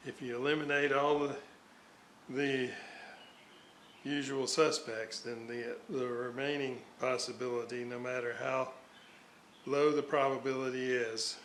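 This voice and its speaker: A lower-pitched man talks slowly and haltingly through a hands-on repair, thinking aloud as he works. His voice sits in a roomy, echoey space with faint noise behind it. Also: little pitch movement, a bit bright. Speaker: hobbyist radio restorer narrating his repair. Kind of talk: unscripted workbench walkthrough. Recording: roomy echo, background noise, uneven level.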